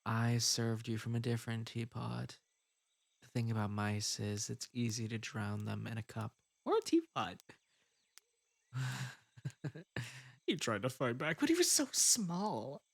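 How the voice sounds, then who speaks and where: A possessive bunny spirit, played by a man, who coaxes in a slow, breathy murmur broken by unsettling giggles. The gravelly, husky voice swings between wounded pleading and sinister calm. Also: medium pitch, full character voice. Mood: gloating. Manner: soft coaxing delivery broken by giggles and sudden outbursts. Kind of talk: audio roleplay drama.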